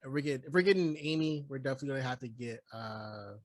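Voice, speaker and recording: A man's voice: medium pitch, fairly even intonation, fast, dry recording. A man co-hosting a casual movie review, talking emphatically in slang, swearing freely and peppering his sentences with "like". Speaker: podcast co-host reviewing a movie.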